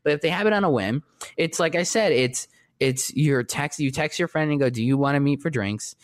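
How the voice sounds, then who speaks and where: A man with a distinctly high-pitched voice, chatting casually and rambling with many restarts and fillers. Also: a little fast. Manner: rambling, lots of restarts and fillers, self-described shy. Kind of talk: casual conversation.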